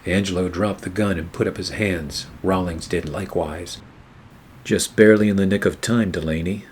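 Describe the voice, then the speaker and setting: Low-voiced hard-boiled private eye played by a man. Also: fairly even intonation, moderate pace, darkish tone, slight background noise, natural voice. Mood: wry, measured. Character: weary, wry hard-boiled male private eye narrating his case. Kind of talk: noir radio play narration and dialogue.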